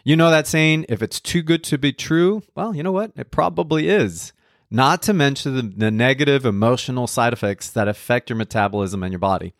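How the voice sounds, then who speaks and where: A man's voice: medium pitch, no echo, clean studio sound. A middle-aged man from Colombia, a nutrition counselor talking casually and wryly on a podcast. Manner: conversational, wry, lightly joking. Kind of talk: podcast talk.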